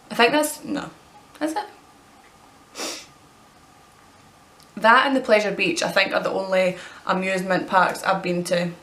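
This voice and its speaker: A Scottish woman of twenty-two, chatting casually as she answers tag questions and rambles with quick self-corrections. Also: medium pitch, fairly bright tone, roomy sound. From Scotland. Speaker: vlogger.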